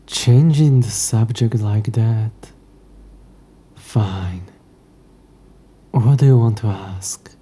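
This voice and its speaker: A man playing a haughty, possessive ancient demon in a scripted audio roleplay, with a hushed, breathy voice and dry, condescending, formal speech. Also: fairly low pitch, full character voice. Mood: grudging, curt.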